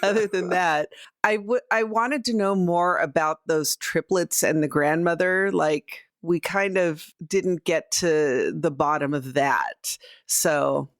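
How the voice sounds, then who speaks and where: A woman's voice: fairly low pitch, takes it slowly, no echo, studio quality. A woman chatting casually and reflectively as a player on a tabletop gaming show. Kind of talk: casual chat.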